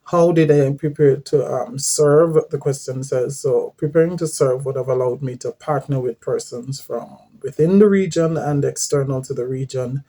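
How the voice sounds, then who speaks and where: A man, giving an earnest personal testimony as a former volunteer missionary, pausing often with fillers and restarts. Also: medium pitch, mellow. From Guyana.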